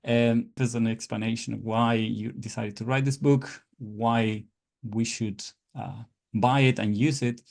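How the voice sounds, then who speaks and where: Male interviewer with a gravelly voice and mid pitch, halting delivery. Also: steady tone, a little mellow. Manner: halting, repeating words as he asks. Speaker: podcast host. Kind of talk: interview questions.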